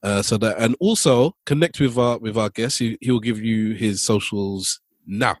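A man's voice: on the low side, normal speed, no room sound, clean studio sound. A man co-hosting a podcast in casual chat, loose and joking, often repeating himself. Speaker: podcast co-host.

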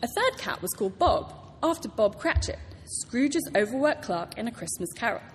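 A woman's voice: on the high side, very animated, normal speed. A British woman author giving a prepared talk to a live audience, telling stories with enthusiasm. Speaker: author introducing a public reading. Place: large hall at a public library event. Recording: reverberant hall, clear background noise.

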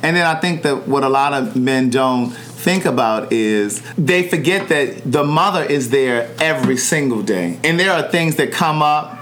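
Animated man hosting a chat. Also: medium pitch, normal speed, hardly any pauses, slight background noise. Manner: animated storyteller, acts out quoted voices. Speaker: podcast host. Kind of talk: casual conversational interview.

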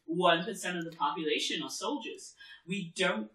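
A man with a bright, forceful, fairly high voice. He talks in a casual co-host style, pushing his opinions with emphasis and restating phrases. The room around him is noticeably echoey. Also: moderate pace. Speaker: podcast co-host. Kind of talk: casual TV discussion chat. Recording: roomy, echoey room sound.

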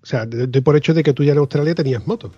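A male interview host with a dark, relaxed tone asks curious questions and lays out details at length. His voice is a little breathy and husky. The recording sounds slightly muffled and lo-fi. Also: on the high side, rapid-fire, no room sound, soft background music. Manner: inquisitive, explains in detail. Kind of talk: podcast interview.

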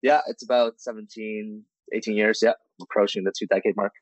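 A man in his late twenties from China, a guest entrepreneur telling his story in relaxed, candid casual chat. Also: on the low side, brisk, no echo, rough recording.

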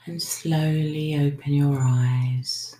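A woman leading a guided breathing meditation, giving soothing, unhurried instructions that sometimes trail into a whisper. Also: sits low, steady tone, mellow. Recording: roomy, echoing sound.

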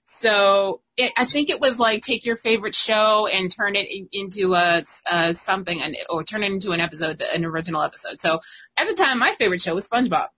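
A woman in her thirties tells stories in a casual chat, laughing often and bouncing between thoughts. Her voice is bright and forward, with a husky, slightly breathy edge. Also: medium pitch, brisk, low-quality recording. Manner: giggly, animated storyteller. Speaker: guest writer.

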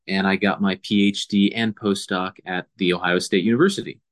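A man's voice: low voice, fairly even intonation, fairly quick. A man from Indiana, a biology professor, answering interview questions in a thoughtful, rambling way with frequent restarts. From Indiana, USA. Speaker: guest biologist.

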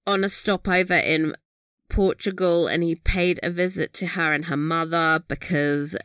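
A woman's voice: lowish voice, steady tone, moderate pace, a bit bright, no echo, clean studio sound. A woman co-hosting a podcast, chatting casually about a TV episode, laughing often and swearing offhand. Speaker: podcast co-host. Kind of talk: casual recap chat.

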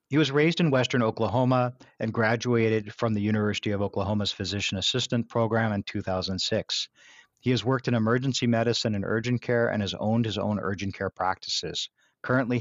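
A man's voice: on the low side, no echo, muffled recording. A man co-hosting a podcast, talking loosely and filling his sentences with "like". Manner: loose, filler-heavy, says like a lot. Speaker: podcast co-host. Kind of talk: casual podcast talk.

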